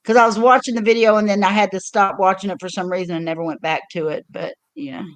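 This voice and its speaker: An older woman talks into a home mic on her own livestream. She rambles through stories, circling back with fillers and restarts. Her pitch sits in an ordinary middle range. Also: steady tone, fast. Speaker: livestream host. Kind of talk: casual chat.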